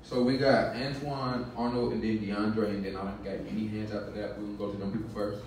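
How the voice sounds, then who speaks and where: Guy moderating a panel, brisk, super echoey hall, phone mic far away. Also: on the low side, little pitch movement, darkish tone, slight background noise. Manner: brisk, organizing who speaks next. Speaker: discussion moderator. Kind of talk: moderating an open discussion. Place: hotel conference room.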